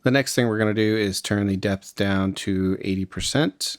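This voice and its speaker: A man with a fairly low voice walks through a software tutorial step by step. He sounds informal and explanatory, and the recording is close and dry. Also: moderate pace, no echo. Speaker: music production tutorial host.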